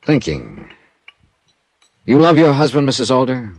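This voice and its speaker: A hard-boiled insurance investigator from a classic radio serial. His mid-pitched voice swings widely in tone, with a sardonic, clipped edge. He sounds tough and wry. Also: wildly swooping pitch, measured pace, darkish tone, exaggerated voice, low-quality recording. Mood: wry, probing. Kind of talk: classic radio detective drama acting. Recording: vintage radio drama with music.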